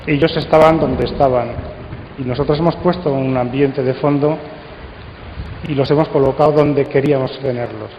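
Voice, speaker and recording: A man giving a conference talk and leading a discussion, speaking deliberately with pauses. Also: medium pitch, fairly even intonation, muffled recording. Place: conference hall. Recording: echoey hall, faint noise.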